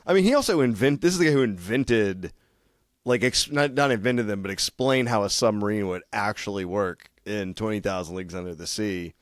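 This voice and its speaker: A man's voice: on the low side, brisk, no echo, studio quality. A young man co-hosting a podcast, rambling in casual chat, laughing and swearing easily. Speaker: podcast co-host.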